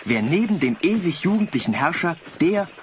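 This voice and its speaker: An actor playing a naive, vain young sled driver in a German audio drama, earnest in delivery. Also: male voice, fairly high pitch, sing-song, normal speed. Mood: proud, enthusiastic. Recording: slightly noisy cassette audio drama.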